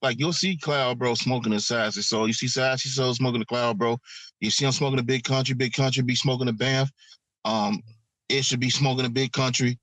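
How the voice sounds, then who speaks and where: A man's voice: medium pitch, steady tone, a little fast, a bit bright, no echo, low-quality recording. A man hosting a cigar podcast, talking in a slangy, easygoing way. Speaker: podcast host. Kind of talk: casual chat.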